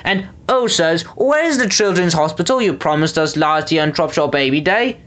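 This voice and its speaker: A storybook reader voicing a bedraggled, desperate man shouting his complaints. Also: mid-range voice, expressive, moderate pace, natural voice. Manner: loud, raised, complaining.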